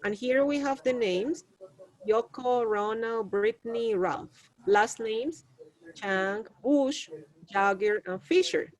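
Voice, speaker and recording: A woman's voice: a little high, slow pace, rough recording. A woman teaching an English class over a video call, patiently prompting her students.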